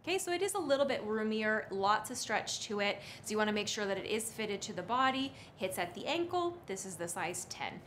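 Thirties woman, very lively intonation, effusive shopping-stream host, faint room noise. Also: medium pitch, a little fast, few pauses. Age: 30s. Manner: animated, effusive. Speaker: presenter and model on a fashion shopping livestream. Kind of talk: live sales presentation, chatty. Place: indoor studio with brick wall, standing back from camera.

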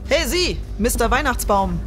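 An actress playing a resolute, candid scientist at a polar station in an audio drama. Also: female voice, on the high side, big pitch swings, moderate pace, music in the background. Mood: composed, wry.

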